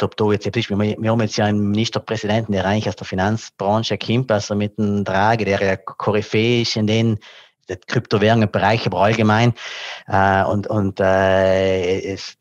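A man's voice: fairly low pitch, dry recording. A middle-aged man, a tax advisor, explaining things carefully over a headset video call, with many fillers. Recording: dull lo-fi call audio.

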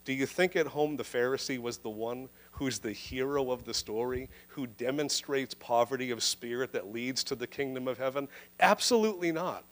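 Pastor guy in his 30s preaching, handheld mic, slows way down on the big words. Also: mid-range voice. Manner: earnest, emphatic preaching with slow stressed phrases. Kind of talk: livestreamed sermon. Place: indoor church room. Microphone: handheld microphone.

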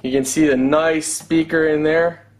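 A man's voice: a little high, steady tone, unhurried. A man in his thirties doing a casual product unboxing review, talking as a reviewer at home. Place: room at home.

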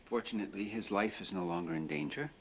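A calm deep-voiced doctor. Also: male voice, quick pace, vocal fry, a little hiss or hum. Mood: grave. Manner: calm, grave. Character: measured male hospital doctor. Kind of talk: TV drama acting.

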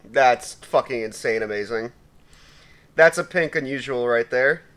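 A young man with a raspy voice giving casual, profane commentary while opening loot, swinging between mumbling and shouting. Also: medium pitch, a little slow. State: raspy from sneezing. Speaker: gaming YouTuber.

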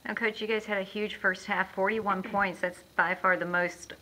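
A woman's voice: medium pitch, steady tone, normal speed, pushed and bright, a little hiss or hum, rough recording. A woman who puts upbeat, leading questions to a coach and players at a post-game press conference. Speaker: team media interviewer.

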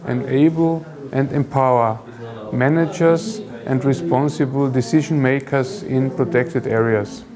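A middle-aged man, a programme director, making a deliberate, formal promotional statement to camera. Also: medium pitch, steady tone, over loud music.

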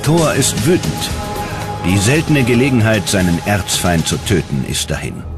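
A man narrating a scripted documentary in a dramatic, measured storytelling manner. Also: fairly low pitch, animated, music in the background.

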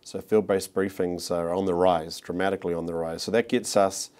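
Deep-voiced New Zealand businessman. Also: level tone, a little fast, a little mellow. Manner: hesitant, many restarts and fillers. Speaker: marketing executive. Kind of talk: corporate interview. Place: office studio set.

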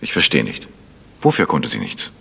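An actor playing a calm, polite gentleman investigator in a German radio crime drama, asking insistent questions. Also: a bass voice, normal speed, vocal fry, slightly rough, exaggerated voice. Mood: earnest, insistent.

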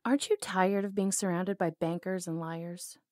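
A bold outlaw cowgirl played by a woman speaks low and mellow, very close and dry on the mic. She is sardonic, flirtatious and lovelorn, in the intimate style of an ASMR audio roleplay. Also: steady tone, normal speed, dry recording, lightly acted. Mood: urgent, pleading.

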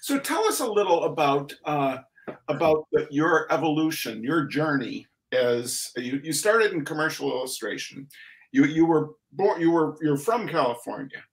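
A middle-aged man hosts from a home studio over a video call. His voice sits fairly high and swings up and down with showman energy, and the delivery is exuberant and hammy. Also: animated, moderate pace, rough recording. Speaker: host. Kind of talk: lively video-call interview. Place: home studio room, indoors.

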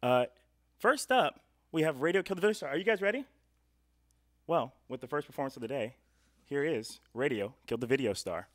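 A man's voice: mid-range voice, animated, fairly quick, pauses often, a bit bright, no room sound. Young man hosting, dry close sound. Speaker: host. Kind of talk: radio show introduction. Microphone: stand microphone in studio.

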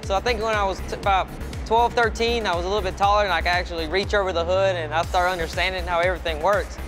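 A man's voice: sits high, steady tone, quick pace. A man from Texas, a pit crew tire changer, chatting casually in an interview. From San Antonio, Texas. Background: music.

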